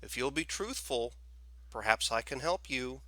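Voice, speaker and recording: Man playing an unhurried, crisp old storekeeper. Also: mid-range voice, lively intonation, sharp tone, dry recording. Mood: stern, measured. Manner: unhurried, measured, admonishing. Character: calm, stern, knowing old male storekeeper. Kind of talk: storybook character voice.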